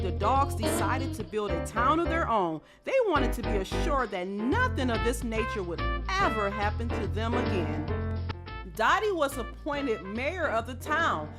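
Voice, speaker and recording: Animated woman reading a children's story. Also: on the high side. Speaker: narrator. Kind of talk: animated scripted children's story read-aloud.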